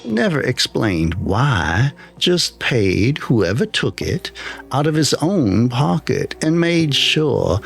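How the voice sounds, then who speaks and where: A man's voice: mid-range voice, creaky, heavily acted. A man voicing a plain-spoken, wistful retired engineer of eighty-one in an audio horror story, in clipped sentences.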